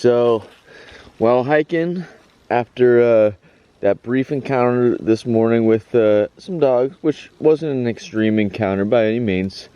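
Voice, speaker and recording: A hoarse man vlogging casually while hiking, rambling and halting, with frequent laughs. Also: medium pitch, mellow, no echo, dull audio. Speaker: hiker vlogger. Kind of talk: casual trail vlog. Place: outdoors on a rural trail.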